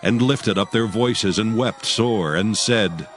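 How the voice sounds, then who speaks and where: Male audio Bible narrator, deep register, solemn measured storytelling, prominent music underneath. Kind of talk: scripted dramatized scripture narration.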